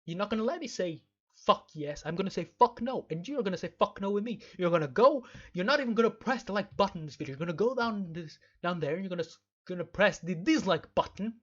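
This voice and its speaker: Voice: mid-range voice, expressive, quick pace, lo-fi sound. A game streamer giving rambling let's play commentary in an emphatic, mock-stern way, often repeating phrases. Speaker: video game streamer and host.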